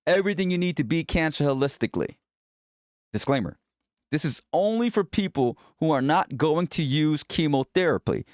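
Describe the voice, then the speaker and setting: A man's voice: a little high, expressive, normal speed, no room sound, studio quality. A man reading a first-person memoir aloud in a conversational, blunt and wry way. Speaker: narrator reading a book chapter aloud.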